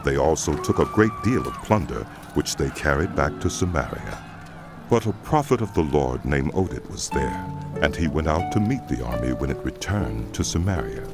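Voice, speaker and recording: A man reading scripture as the solemn, measured narrator of a dramatized audio Bible. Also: a bass voice, sing-song, music in the background.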